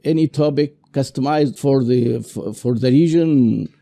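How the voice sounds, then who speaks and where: Arabic accent guy asking questions, dark mellow voice, lots of uhs. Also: mid-range voice, creaky. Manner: hesitant, many uh and um pauses. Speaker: interviewer. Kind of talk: interview questions.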